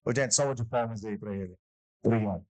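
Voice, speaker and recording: A man's voice: fairly low pitch, quick pace, darkish tone, no echo. A man hosting a live corporate event, chatting casually and talking straight to the audience. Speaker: event host.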